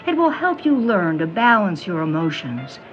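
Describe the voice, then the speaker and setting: Mystic fortune teller: female, knowing, teasing, very animated, audio drama, music bed. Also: fairly low pitch, dull audio. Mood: soothing, knowing. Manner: theatrical, honeyed pet names, dramatic pauses. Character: mysterious middle-aged woman fortune teller, theatrical, knowing, teasing.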